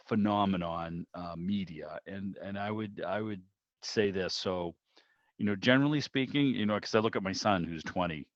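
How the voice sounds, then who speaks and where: Man, middle-aged, low pitch, discursive casual host, dry muffled video-call audio. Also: no echo. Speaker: club meeting host, interviewer. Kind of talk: casual conversational commentary. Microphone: video call microphone.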